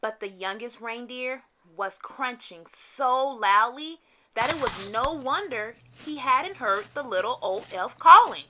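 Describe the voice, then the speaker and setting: Animated, bright-voiced woman reading a storybook. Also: on the high side, slight background noise, low-quality recording. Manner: expressive, deliberate phrasing. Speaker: children's read-aloud storyteller. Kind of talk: animated storybook narration for young children.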